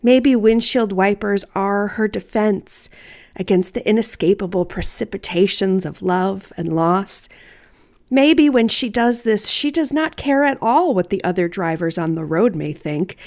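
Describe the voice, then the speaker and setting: A woman storyteller reading a personal story aloud in a deliberate, theatrical, wryly humorous way. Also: mid-range voice, animated, a little mellow. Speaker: writer and storyteller.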